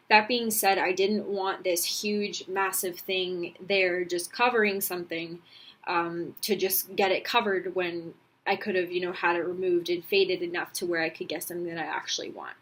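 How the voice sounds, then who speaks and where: A woman's voice: fairly low pitch, a bit bright. A young woman talking casually to camera in a personal vlog, candid and rambling, with frequent ums and restarts. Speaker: vlogger.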